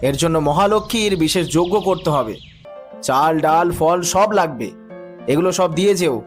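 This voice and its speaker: A man's voice: a little high, animated, normal speed, lightly acted. A man voicing a cunning, boastful barber's son who poses as a priest in a cartoon moral story, theatrical and self-important. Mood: scheming, pompous. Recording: music bed behind the voice.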